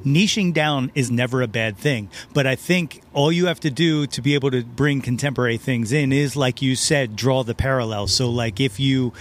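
A man's voice: mid-range voice, moderate pace, few pauses. A man hosting a casual podcast interview, talking in an effusive, complimentary way. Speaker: podcast host.

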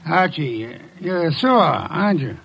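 An actor playing an imperious, brilliant older private detective, gruffly dismissive and precise in his diction, in 1950s radio drama style. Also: fairly high pitch, lively intonation, fairly bright tone, heavily acted, rough recording. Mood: commanding, assured. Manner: pompous, precise, deliberate diction.

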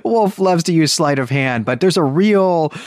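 A man with an animated, swinging delivery talks through a book as a podcast host. He is enthusiastic and leans on his words for emphasis, and the recording is close and dry. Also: a little high, a little slow, dry recording. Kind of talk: literary discussion and recap.

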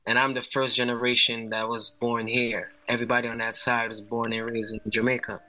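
Bright-voiced Bronx guest, relaxed. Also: male voice, mid-range voice, fairly quick, poor audio. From North Bronx, New York. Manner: relaxed, explanatory, "you know" often. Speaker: guest musician. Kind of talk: casual interview.

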